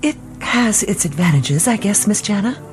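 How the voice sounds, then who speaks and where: An actress playing a dutiful, even-tempered servant in a radio drama, deferential and precise. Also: female voice, mid-range voice, normal speed, music in the background, natural voice. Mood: obliging.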